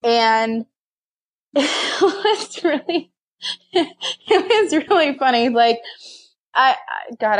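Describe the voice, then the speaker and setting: A young woman talks as a guest on a podcast, telling stories about her past in a relaxed, chatty way. She laughs often and slips into short bits where she acts out what she once said to herself. Her voice sits a little high. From raised in many countries, partly Scotland and Nevada. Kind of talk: casual storytelling.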